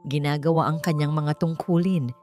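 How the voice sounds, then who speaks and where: Low-voiced woman narrating a dramatic love story. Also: fairly even intonation, normal speed, dark tone, soft background music. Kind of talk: dramatic scripted romance narration.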